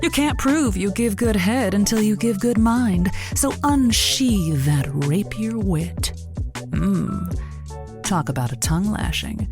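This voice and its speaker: A woman's voice: mid-range voice, lively intonation, slow, over music. A woman playing a witty, sultry host of a radio love advice show, with an arch, theatrical, suggestive manner. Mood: sultry, teasing. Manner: arch, innuendo-laden, theatrical. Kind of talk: scripted audio comedy acting.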